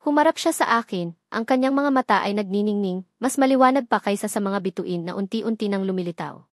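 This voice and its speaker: A woman's voice: mid-range voice, fairly quick, darkish tone, no echo, clean studio sound. A woman reading a scripted first-person romance story as narrator, in a dramatic storytelling manner.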